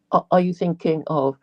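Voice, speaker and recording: A woman's voice: sits low, husky, highs cut off. A woman from England, a guest in a live online discussion, speaking hesitantly and repeating words.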